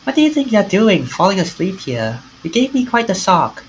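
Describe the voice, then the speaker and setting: Man putting on a high, fussy young woman. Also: normal speed, slight background noise, rough recording. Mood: scolding, urging. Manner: animated, pushy, questioning. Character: fussy, concerned young woman, occult enthusiast, 23. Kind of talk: amateur visual novel character reading.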